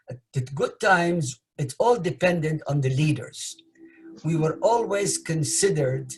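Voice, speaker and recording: An older man from Iraq giving deliberate, emphatic commentary in a measured way, repeating key phrases. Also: a little high, husky, rough recording.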